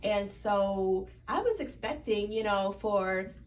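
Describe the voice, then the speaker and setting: A woman's voice: medium pitch, lively intonation, takes it slowly, recorded from a distance. A woman talking casually and enthusiastically as a guest in a radio interview, chatty about her work. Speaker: guest, playwright and stage producer. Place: radio studio.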